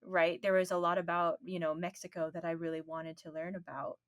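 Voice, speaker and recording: A woman's voice: lowish voice, flat delivery, a little fast. Woman podcast host, fond of "you know". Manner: affirming, many "you know" and "right" fillers. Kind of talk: casual interview conversation.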